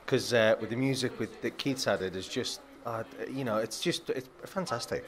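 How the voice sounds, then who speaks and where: A man's voice: medium pitch, normal speed, a crowd in the background. A man, an actor, answering interview questions eagerly, heaping on praise and laughing easily.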